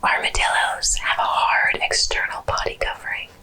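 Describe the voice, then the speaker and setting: A woman host narrating educational animal facts in an ASMR style, whispering slowly and deliberately. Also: sharp tone. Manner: whispers slowly and deliberately through most of the talk.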